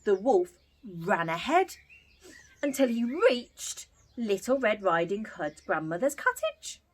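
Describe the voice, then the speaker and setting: A woman telling a story to young children as an entertainer, theatrical and expressive. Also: mid-range voice, slow.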